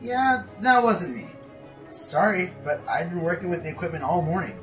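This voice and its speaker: A man's voice: sits high, animated, moderate pace, a bit bright, faint music behind. A man in a radio play voicing an awkward, hesitant, lovestruck young pony sound technician. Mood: apologetic.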